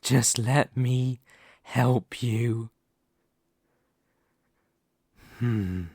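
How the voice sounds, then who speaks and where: Casting: male actor for demon lord, fantasy ASMR roleplay. Mid-pitched, extremely unhurried, dominant, taunting, a touch crazed. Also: steady tone, a little mellow, breathy edge, no echo, studio quality, heavily acted. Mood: coaxing, persuasive. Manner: slow, menacing, taunting with drawn-out words.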